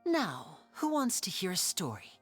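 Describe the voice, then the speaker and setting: Suave young stage magician for a game: light high-pitched male voice, animated, polite, teasing, calm cunning, faint music behind. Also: unhurried, husky, heavily acted. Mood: playfully apologetic. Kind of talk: scripted video game voice acting.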